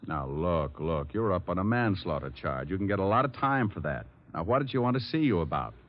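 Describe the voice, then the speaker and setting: An American actor playing a wisecracking, flippant private detective in a glib, sardonic 1940s radio style. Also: male voice, sits low, sing-song, a little fast. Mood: pressing. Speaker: lead actor and narrator. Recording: muffled old radio broadcast.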